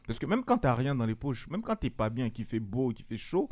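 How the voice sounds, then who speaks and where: A man's voice: mid-range voice, big pitch swings, fairly quick, darkish tone, no echo. Casual man chatting in a dry room. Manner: loose and conversational, repeats words and says "tu". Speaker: panelist. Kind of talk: casual chat.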